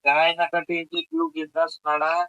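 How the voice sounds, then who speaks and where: A man's voice: on the high side, completely flat delivery, measured pace, sharp tone, clean tone, dry recording. A man with a rural western Maharashtra accent chatting casually on a phone call, encouraging and repeating his reassurances. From rural western Maharashtra, India. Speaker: fan receiving a phone call.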